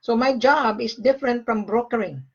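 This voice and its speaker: A woman's voice: fairly high pitch, normal speed, breathy edge, dull audio. Older woman from the Philippines on a call. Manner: emphatic, repeats key phrases. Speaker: gold sales facilitator. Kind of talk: casual call conversation.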